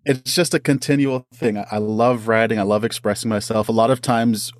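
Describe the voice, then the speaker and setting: Low-voiced man chatting as a guest. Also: fairly even intonation, a little fast, no room sound, clean studio sound. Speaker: interview guest; audio drama writer. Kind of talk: casual conversational interview.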